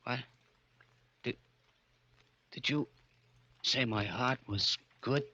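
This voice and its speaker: A man's voice: mid-range voice, drawn-out words, bright, forceful tone, lo-fi sound, slight background noise. An American actor playing a tough, brooding gangster trigger man telling his story in an old-time radio crime drama. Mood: dazed, shaken. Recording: old radio broadcast with music behind.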